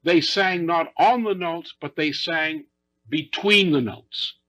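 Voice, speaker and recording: A man giving an unscripted spoken account in an emphatic, deliberate way, with short repeated phrases. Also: on the high side, fairly bright tone, no echo. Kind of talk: unscripted speech recounting a musical performance. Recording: muffled, lo-fi speech recording.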